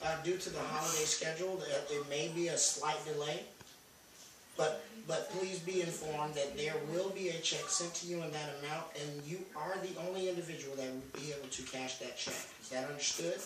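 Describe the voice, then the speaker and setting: A middle-aged man playing a formal, official benefits agent in a halting phone manner for a prank call. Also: a little high, fairly even intonation, natural voice. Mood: businesslike. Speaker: prank caller. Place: home kitchen and living room, indoors. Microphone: phone camera across the room. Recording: distant phone-camera recording, echoey room, noisy.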